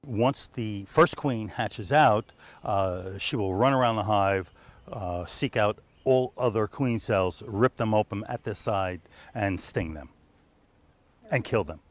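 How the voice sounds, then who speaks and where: A man's voice: fairly low pitch, slow pace, no room sound. A middle-aged man, a beekeeper explaining his work in casual, rambling speech. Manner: chatty, lots of fillers and restarts. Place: outdoors in a garden.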